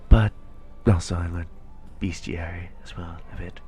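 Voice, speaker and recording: A man playing a kindly, educated fantasy tavern keeper in an intimate audio roleplay, speaking softly and haltingly to the listener. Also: sits low, steady tone, faint music behind. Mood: confiding, wistful. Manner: slow, halting, soft-spoken with breathy confiding asides.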